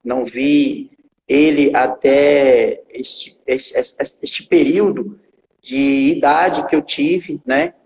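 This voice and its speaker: This is a man in his forties with a fairly high, gravelly voice, telling his own story in a halting way full of fillers and restarts. He sounds a little far from the microphone, in a space with a long reverb tail, and a faint hiss sits underneath. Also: darkish tone. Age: 40s. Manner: halting, with many filler pauses and repetitions. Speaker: guest pastor. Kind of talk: telling his life story in a live stream.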